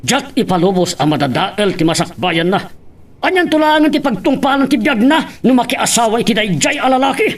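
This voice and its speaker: A man's voice: extremely high pitch, expressive, fairly quick, a little hiss or hum. An actor playing a strict, disapproving father in a radio drama, stern and emphatic. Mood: indignant.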